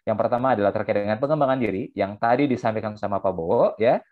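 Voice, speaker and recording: A man in his thirties from South Sumatra giving an online seminar talk, with many fillers and a repeated "ya". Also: lowish voice. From South Sumatra, Indonesia. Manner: fast, repetitive, many fillers and "ya". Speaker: guest speaker. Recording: tinny phone-like line.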